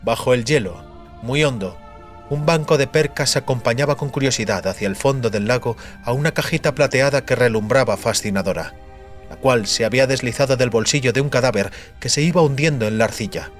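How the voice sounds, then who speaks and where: A man's voice: mid-range voice, fairly quick, soft background music. A man narrating fantasy fiction as a dramatic, scripted audiobook.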